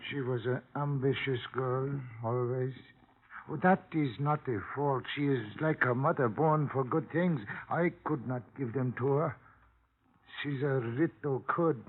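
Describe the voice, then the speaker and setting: A man playing a wounded, proud old immigrant father in a 1950s radio drama, speaking in halting, formal, emotional phrases. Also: mid-range voice, natural voice. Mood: bitter, sorrowful. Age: older. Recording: tinny, noisy old radio recording.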